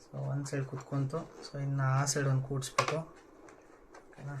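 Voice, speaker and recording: A man's voice: mid-range voice, completely flat delivery, normal speed, vocal fry, background noise. A man giving a casual hands-on how-to demonstration, informally mixing in English words. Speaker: tech vlogger presenting a tutorial.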